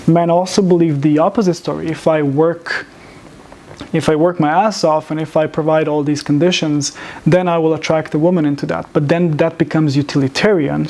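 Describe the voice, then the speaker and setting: A man from Serbia giving a public talk, measured in pace, often restarting his phrases. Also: on the high side, creaky, a little mellow. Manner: measured, with frequent restarts and fillers. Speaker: public speaker. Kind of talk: lecture presentation.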